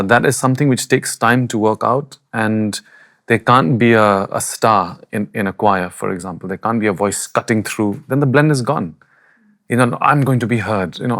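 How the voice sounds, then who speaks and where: A man's voice: on the low side, fairly bright tone. Bold, candid male choir singer. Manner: bold, candid, self-deprecating. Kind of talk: conversational explanation. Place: podcast studio.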